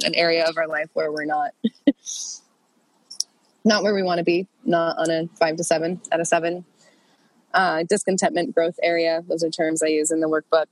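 A woman's voice: fairly low pitch, no room sound, low-quality recording. A woman coaching an online group in a chatty, loose way, congested from a cold. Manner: chatty, loose, many fillers and restarts. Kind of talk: casual coaching talk.

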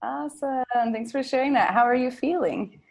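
A woman's voice: high voice, normal speed, gravelly, darkish tone. A woman hosting a group call in casual chat, affirming and effusive with informal wording. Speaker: facilitator and host of a group call.

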